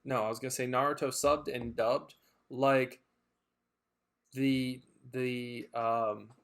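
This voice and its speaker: A man's voice: medium pitch, fairly even intonation, slow, vocal fry, a bit bright. A man co-hosting a casual chat, rambling and hesitant with lots of fillers and restarts. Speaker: podcast co-host.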